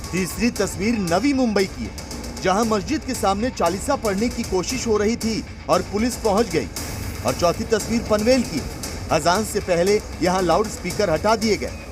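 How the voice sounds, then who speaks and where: A man reading a scripted news report as voice-over, with an emphatic, dramatic delivery. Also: high-pitched. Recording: voice-over with music bed.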